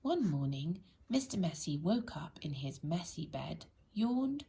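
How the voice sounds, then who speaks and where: A woman reads a children's bedtime story expressively and deliberately, speaking directly to young listeners with a breathy, husky voice. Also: deep voice, big pitch swings, warm tone.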